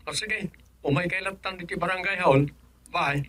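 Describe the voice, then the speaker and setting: A man plays a village chairman in a radio comedy. He is brisk, businesslike and respectful, speaking with an Ilocano accent. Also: sits high, bright, forceful tone. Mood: brisk, courteous.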